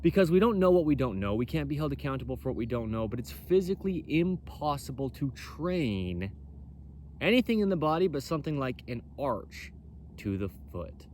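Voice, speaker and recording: Loud, emphatic man over background noise. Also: medium pitch, sing-song, moderate pace. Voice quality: loud, carrying voice. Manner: bold, emphatic, repetitive. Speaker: presenter for a clothing company. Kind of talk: impromptu explainer on body mechanics.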